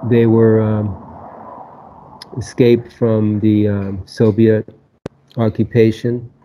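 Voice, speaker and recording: A man in his seventies whose first language is Latvian, telling his life story slowly, pausing often with fillers. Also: lowish voice, little pitch movement, dull audio. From United States. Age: 70s. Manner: slow, halting, many pauses and fillers. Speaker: guest. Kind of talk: casual interview talk.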